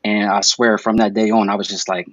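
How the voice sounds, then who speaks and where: A laid-back man in his twenties rambles through his story with plenty of fillers, his voice a little low. The sound is dry and slightly dull, as over a video call. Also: little pitch movement, fairly quick, a bit bright, no room sound, faint music behind. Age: 20s. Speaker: guest reptile breeder. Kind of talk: casual podcast chat over video call.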